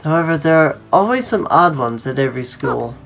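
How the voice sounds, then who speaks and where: A woman's voice: low-pitched, expressive, a little fast, vocal fry, loud music behind the voice. A woman reading a story aloud and breaking off for casual, conversational commentary. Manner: conversational asides. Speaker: host reading a visual novel aloud.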